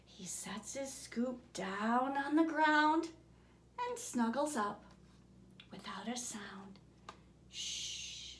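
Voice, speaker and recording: Very animated, high-voiced woman reading a storybook. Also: slight background noise. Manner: expressive, theatrical, swinging from booming to hushed whispers; slow, deliberate, rhythmic delivery of rhymes. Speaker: author reading her own picture book aloud. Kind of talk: children's bedtime storybook reading. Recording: roomy, echoing room sound.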